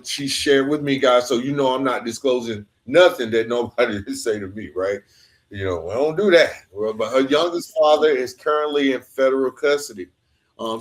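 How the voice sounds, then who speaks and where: A man with a medium-pitched voice hosts a podcast in a casual, conversational style. He talks informally, addressing listeners directly between his interview questions.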